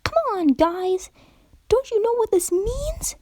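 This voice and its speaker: A shrill, hyper pink pony mare who rambles at high speed, her voice jumping all over the place. She sounds like a storybook cartoon pony, dry and close to the mic. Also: dry recording, full character voice. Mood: urgent, excited. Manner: rapid, excited rambling.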